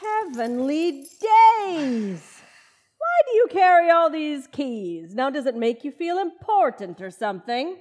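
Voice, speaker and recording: A woman playing a sharp, teasing, practical wife in an old-time radio comedy. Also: high voice, very animated, slow, heavily acted. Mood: nosy, teasing. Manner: teasing, exclamatory.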